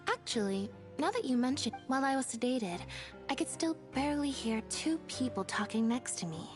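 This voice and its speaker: A gentle young woman with a slightly high voice. She sounds polite and thoughtful, in video game dialogue with music behind her. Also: big pitch swings, normal speed. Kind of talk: video game character acting.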